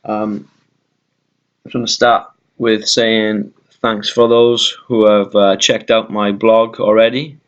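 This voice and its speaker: Welsh man, slow rambling vlog talk. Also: on the low side, level tone, lo-fi sound. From Wales. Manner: rambling, many ums and uhs, deliberately slowed down. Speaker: vegan YouTuber. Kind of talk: casual talk to camera.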